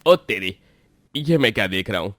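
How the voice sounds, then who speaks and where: A man's voice: lowish voice, lively intonation, normal speed, a bit bright, no room sound, studio quality. A man voicing a jealous, scheming village noodle seller in a cartoon, sly and gloating. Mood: shocked.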